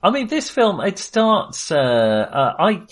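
A man hosts a casual film chat. He speaks informally and haltingly, searching for his words. His manner is self-deprecating and conversational. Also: high-pitched, wildly swooping pitch. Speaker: film podcast host.

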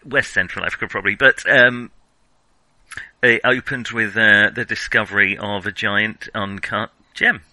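A male podcast host with a very bright, sharp-edged voice, recorded dry and close. He talks casually about films in a wry, self-deprecating, rambling way, feeling for his words as he goes. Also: lowish voice, steady tone, moderate pace, dry recording, poor audio. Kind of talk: casual film discussion.

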